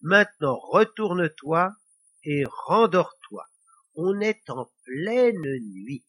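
Man playing a slow, reassuring storybook mother. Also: a little high, sing-song, a bit bright, heavily acted. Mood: soothing, tender. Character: soothing mother settling her child at night.

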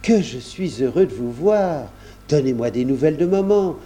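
A man voicing an affectionate, excitable seventeen-year-old boy who gushes with gratitude in a storybook reading. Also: on the high side, moderate pace, voice pushed up, natural voice. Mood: grateful, eager. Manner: gushing exclamations.

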